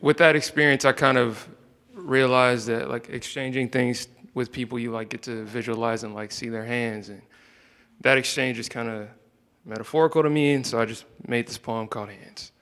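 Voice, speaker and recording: A young man reading his own spoken word poetry into a handheld microphone, soft-spoken and hesitant between poems. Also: mid-range voice, fairly even intonation, moderate pace, long reverb tail. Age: young adult. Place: indoor room, standing by a wall.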